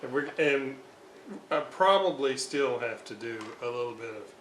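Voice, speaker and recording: A man giving an informal hands-on repair demonstration, thinking aloud in a halting way with long pauses. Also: medium pitch, animated. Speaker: hobbyist radio restorer presenting his repair. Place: indoors at a repair workbench. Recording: roomy, noisy amateur workshop recording.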